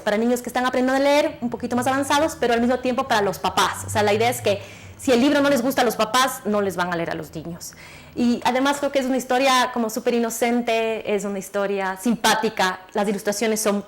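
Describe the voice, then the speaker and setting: Guest author: woman, 30s, bit high voice, quick animated chat with lots of restarts. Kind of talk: TV interview, casual chat.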